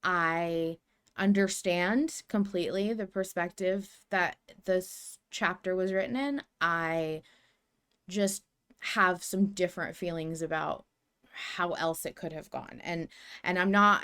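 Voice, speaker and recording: A woman's voice: lowish voice, slow pace, fairly bright tone, clear, resonant tone. A woman co-hosting a podcast in casual discussion, hesitant and self-deprecating as she talks. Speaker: podcast co-host.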